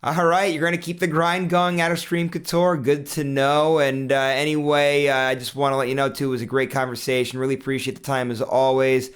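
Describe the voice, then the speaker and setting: Smooth-voiced male interview host. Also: medium pitch, flat delivery, a little fast, hardly any pauses. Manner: long rambling questions with fillers. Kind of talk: interview questions.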